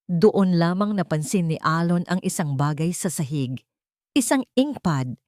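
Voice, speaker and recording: A woman's voice: on the low side, animated, unhurried, darkish tone, dry recording, studio quality. A woman narrating a scripted story in a dramatic audio drama style.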